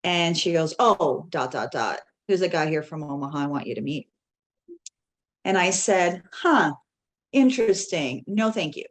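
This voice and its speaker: A woman, a podcast guest telling a story in a lively way and often laughing. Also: sits low, unhurried. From Canada (Toronto). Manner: animated, laughs often, quotes others in dialogue. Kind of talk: casual storytelling.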